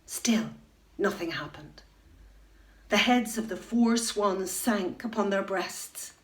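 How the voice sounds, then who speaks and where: A middle-aged woman reading a children's bedtime story aloud at home, expressive, into a webcam. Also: medium pitch, takes it slowly. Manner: expressive, rising to loud excitement in dramatic moments. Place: room at home.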